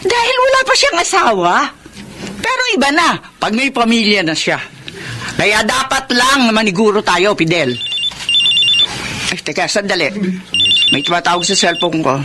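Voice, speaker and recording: Actress as scolding money-minded mother, highly animated, emphatic, radio drama, noisy recording. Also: normal speed, pauses often, close to an everyday voice. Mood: defensive, insistent. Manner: scolding, insistent, sharply emphatic. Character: domineering, money-minded mother of a grown daughter. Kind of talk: Filipino radio drama acting.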